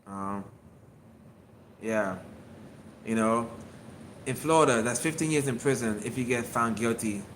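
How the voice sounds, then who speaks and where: A man's voice: medium pitch, a little slow, pauses often, fairly bright tone, a little hiss or hum. A man in his fifties, an acupuncture physician, talking casually on a solo livestream from his clinic, rambling and repeating himself as he answers viewers. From Miami. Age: 50s.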